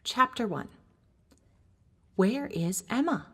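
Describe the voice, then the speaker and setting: A woman reading scripted children's audiobook narration in a measured storybook delivery. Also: fairly high pitch, lively intonation, breathy edge.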